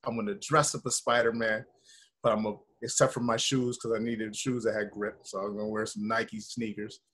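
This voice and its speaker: An American man in his thirties chatting casually on a video call, telling stories in a slangy, rambling, animated way. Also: on the low side, brisk, breathy edge. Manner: animated, slangy, rambling with frequent "you know" and "like". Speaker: actor being interviewed. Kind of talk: casual chat, storytelling. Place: indoor room, video call.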